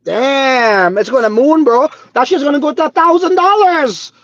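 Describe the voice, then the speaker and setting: A man from Trinidad, hosting a casual crypto livestream on his phone, excitable and swearing freely, peppered with "bro" and "dude". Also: very high-pitched, normal speed, no room sound. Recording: slightly dull phone audio.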